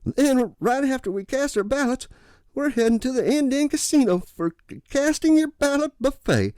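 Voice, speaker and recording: A man's voice: expressive, moderate pace, darkish tone, smooth, no room sound, clean studio sound. A man doing a sugary old lady volunteer. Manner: sweet, fussy, high falsetto. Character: chirpy elderly woman volunteer, sugary and proud.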